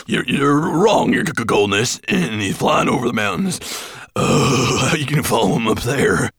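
A nervous storybook dwarf, servile yet cross. He stammers and sputters as if soaked and shivering, his pitch jumping high above a normal speaking voice. The sound is close and dry. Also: expressive, moderate pace, a bit bright, slightly rough, no room sound. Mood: nervous objecting.

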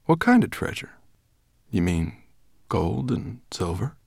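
A man voicing a gentle, curious silver dragon with a faint rasp and an unhurried manner in a storybook reading. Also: lowish voice, very animated, dry recording, studio quality, heavily acted. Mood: puzzled.

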